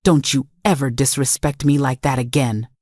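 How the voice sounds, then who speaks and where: A woman's voice: rumbling low, fairly even intonation, normal speed, darkish tone, no room sound, studio quality. A woman voicing a menacing, controlling husband in a dramatic story, accusing and commanding. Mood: hissed threat. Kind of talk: dramatic story narration dialogue.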